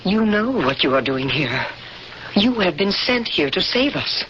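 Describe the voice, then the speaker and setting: An actress playing a calm, secretive woman official who insists on a prophecy, in a 1970s radio drama. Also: on the low side, unhurried, background noise. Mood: solemn. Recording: dull lo-fi old radio broadcast.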